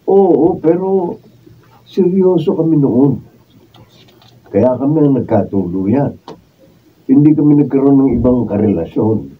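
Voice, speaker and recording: A worried protective dad, radio drama, swingy voice, off the mic. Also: male voice, medium pitch, expressive, a little slow, long pauses, husky. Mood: concerned, admonishing. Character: worried, protective middle-aged father. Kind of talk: Filipino radio drama acting. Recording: distant, phone-like lo-fi, faint noise.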